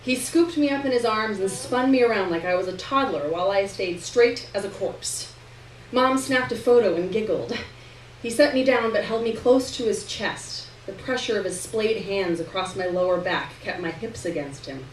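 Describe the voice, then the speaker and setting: A woman reads literary fiction in the first person to a live room. Her delivery is expressive, with deliberate pauses and shifting emphasis. The sound is echoey and distant, with faint noise behind her. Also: mid-range voice. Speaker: reader performing a novel chapter to an audience. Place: live reading event in a room.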